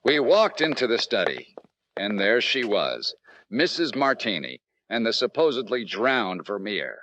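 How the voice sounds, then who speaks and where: Man playing a lively, wry radio detective. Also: fairly low pitch, measured pace, low-quality recording. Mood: wry narration. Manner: polite, firm, wry. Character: confident male insurance investigator, wry hardboiled narrator. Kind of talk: old-time radio detective acting and narration.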